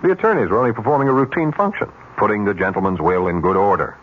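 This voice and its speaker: An actor playing a smooth, coyly evasive man making a shady offer in a 1970s radio drama, measured and softly persuasive. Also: lowish voice. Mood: insinuating, persuasive. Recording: muffled, noisy old radio broadcast.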